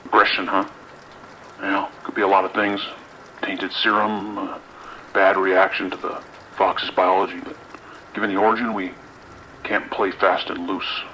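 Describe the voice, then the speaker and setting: A man playing a brisk, authoritative police lieutenant in an audio drama, dry and clipped, with an American accent. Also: deep voice, flat delivery, pushed and bright, rough recording. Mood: firm, businesslike. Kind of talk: naturalistic audio drama acting. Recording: faint noise, rain and radio behind.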